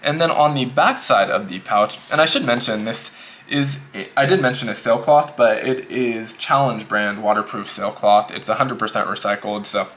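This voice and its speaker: A man casually reviewing a notebook pouch in a relaxed, rambling way, a little sick and slightly hoarse. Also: fairly low pitch, moderate pace. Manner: relaxed, rambling, repeats himself. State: losing his voice, a bit sick. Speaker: EDC gear reviewer.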